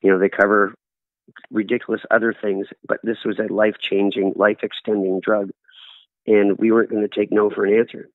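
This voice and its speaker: A man's voice: lowish voice, little pitch movement, brisk, no echo. A middle-aged man, a guest telling stories earnestly in an interview. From Canada. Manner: earnest, storytelling with acted-out quotes. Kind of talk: conversational interview answers. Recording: dry, tinny phone-like line.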